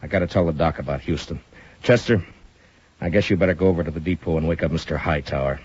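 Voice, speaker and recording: An American actor playing a steady, dry-humored Old West marshal in measured 1950s radio drama style, a man of few words. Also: very deep voice, husky, dry recording. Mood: grave, businesslike. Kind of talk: 1950s radio western acting and first-person narration. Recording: dull, lo-fi 1950s radio recording.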